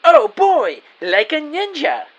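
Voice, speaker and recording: A man's voice: very high voice, huge pitch swings, slow, dull audio, exaggerated voice. A man playing an earnest, naive detective who narrates his own story in a scripted audio drama, with a theatrical delivery. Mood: excited.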